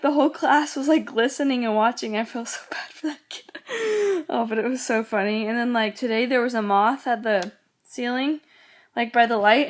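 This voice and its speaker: A teenage girl chatting casually in a vlog, rambling with lots of "like" while getting over a cold that makes her voice go in and out. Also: a little high. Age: teens. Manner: rambling, many "like"s, quotes others. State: sick, voice going in and out. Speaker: vlogger. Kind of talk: casual chat.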